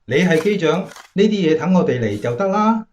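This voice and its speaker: A man's voice: a little high, sing-song, fairly quick. A man acting a matter-of-fact bomber crewman in a radio drama.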